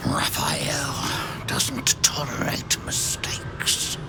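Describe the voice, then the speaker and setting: A gravelly, sneering spirit jailer. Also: medium pitch, level tone, very slow, full character voice. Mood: mocking. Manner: mocking, drawling, with sneering laughs. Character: cruel sardonic spirit, former prison keeper. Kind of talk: video game cutscene acting. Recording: music underneath.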